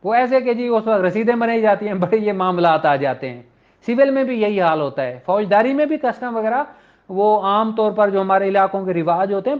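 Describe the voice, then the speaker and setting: A man with a Pakistani accent giving an informal law lecture in a conversational, questioning way. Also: high-pitched. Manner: conversational, poses rhetorical questions, acts out courtroom exchanges; switches between Urdu and slowly read English legal text. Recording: dull, lo-fi muffled audio.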